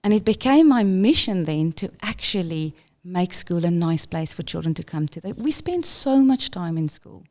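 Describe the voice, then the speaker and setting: Quick-talking South African woman, mellow voice. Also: fairly low pitch. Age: middle-aged. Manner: quick, animated storytelling with self-corrections. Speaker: podcast guest, inclusion leader. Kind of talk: conversational interview.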